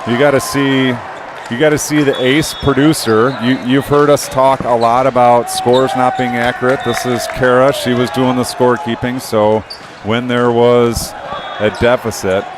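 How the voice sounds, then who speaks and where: A middle-aged man hosting a courtside broadcast sign-off, joking and rambling as he talks loudly. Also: medium pitch, level tone, normal speed. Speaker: broadcast host. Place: loud gym with a crowd. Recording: noisy crowded gym. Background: crowd cheering, balls bouncing.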